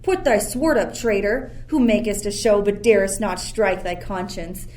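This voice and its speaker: A woman's voice: mid-range voice, normal speed, darkish tone, natural voice. A woman playing a commanding, scornful sorceress mother in a Shakespearean radio play, speaking imperiously and theatrically. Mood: angry, commanding. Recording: faint background noise.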